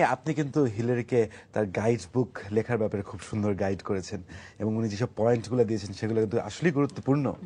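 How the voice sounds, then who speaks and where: A man with a Bangladeshi accent hosting a mock-serious comedy interview, politely deadpan and a little hesitant. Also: mid-range voice, slightly rough. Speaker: talk show host.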